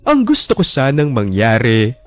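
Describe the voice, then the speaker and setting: A man's voice: medium pitch, wildly swooping pitch, normal speed, dry recording. A man in his twenties earnestly narrating his own story for a radio drama, pleading not to be judged. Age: 20s. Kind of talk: scripted confessional narration. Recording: music bed under narration.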